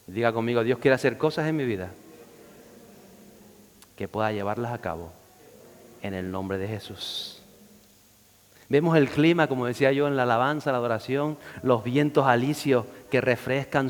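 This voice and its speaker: A man, a pastor, preaching a sermon and lifting his voice on scripture quotes. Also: mid-range voice. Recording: long reverb of a hall.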